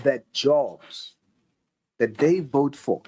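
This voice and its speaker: A man's voice: medium pitch, lively intonation, extremely slow, a little mellow, slight background noise. A man, a member of parliament questioning a witness in a committee room, insistent and lecturing, repeating his points into a desk mic.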